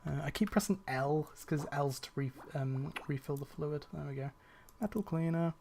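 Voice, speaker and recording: A man's voice: on the high side, moderate pace, vocal fry, a little mellow, a little hiss or hum. A young man streaming a cleaning game, chatting casually with his viewers, rambling with fillers and restarts and sounding a little tired. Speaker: gaming streamer. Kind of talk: casual chat while playing a game on a live stream.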